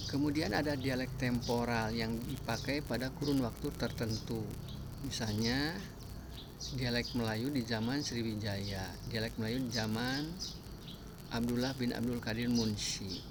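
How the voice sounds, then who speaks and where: A man lectures in a calm, measured way, pausing between items as he lists examples. His voice sits at an ordinary middle pitch. Clear background noise runs under the recording, with birds chirping. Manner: measured, listing examples one by one with pauses. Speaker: language teacher. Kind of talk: spoken lecture on language varieties.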